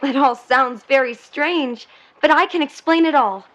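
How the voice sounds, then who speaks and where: High-voiced romantic 1950s sweetheart, muffled. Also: female voice, measured pace, a bit bright. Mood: earnest, dreamy. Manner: dreamy, polite, poetic. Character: earnest, romantic young sweetheart in 1950s New York. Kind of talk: vintage-set audio drama acting.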